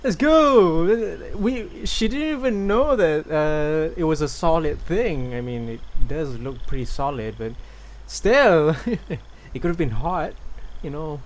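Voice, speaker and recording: A man from Malaysia chatting casually on a game livestream, musing aloud in a halting way as he reacts. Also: a little high, expressive. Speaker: game streamer. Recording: noisy livestream with game sound behind.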